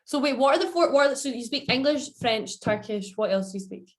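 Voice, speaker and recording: A young woman co-hosting a podcast, excitable and swearing freely in casual chat. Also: fairly high pitch, brisk. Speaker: podcast co-host.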